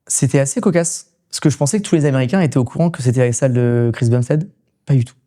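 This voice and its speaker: Man, rapid speech, soft dark tone, casual podcast storytelling, English loanwords. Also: medium pitch. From France. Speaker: podcast guest, gym equipment coach and reseller. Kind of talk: casual anecdotal interview chat.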